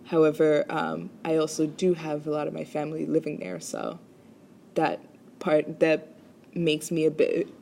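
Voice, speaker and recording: A woman's voice: sits low, level tone, a little slow, gravelly, warm tone, a little hiss or hum. A woman from Rwanda presents a class assignment as a chiropractic student, speaking hesitantly with many ums and restarts.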